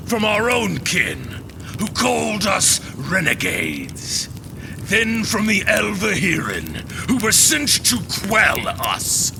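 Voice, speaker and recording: A man's voice: sits high, gravelly, heavily acted, rough recording. A man playing an impassioned renegade warlord rallying an army in an audio drama, with a grandiose, declamatory delivery. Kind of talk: audio drama battle speech.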